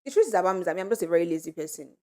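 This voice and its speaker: A woman co-hosting a casual podcast chat with friends, telling animated stories and laughing often. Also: lowish voice, racing. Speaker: podcast co-host.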